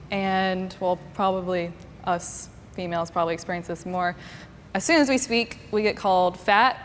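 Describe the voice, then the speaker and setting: A woman's voice: mid-range voice, a little hiss or hum. Woman, nineteen, earnest, laughs easily, long reverb. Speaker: pro gamer. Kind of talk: casual group discussion.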